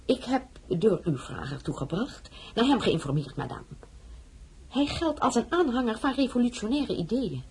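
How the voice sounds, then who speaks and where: A woman's voice: a little high, sing-song, normal speed, a little mellow, slight background noise. An actress playing a haughty, coldly formal queen with an imperious courtly manner in a radio drama. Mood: cold, reproving. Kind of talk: dramatised radio play acting.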